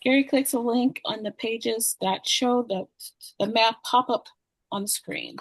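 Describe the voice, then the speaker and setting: A woman's voice: fairly high pitch, unhurried, no echo. A woman reading stage directions as the narrator of a screenplay table read.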